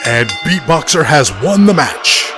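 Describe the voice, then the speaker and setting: A man's voice: a little high, very animated, measured pace, music in the background, a crowd in the background. A man playing a hyped, slangy wrestling commentator who narrates each blow of the fight. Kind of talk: sports commentary.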